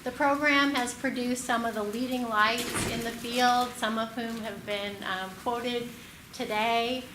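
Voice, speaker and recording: A woman's voice: a little high, fairly even intonation, measured pace. A woman introducing a keynote speaker at a conference, admiring and with frequent filler words. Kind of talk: formal introduction. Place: conference room. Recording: roomy hall with clear noise.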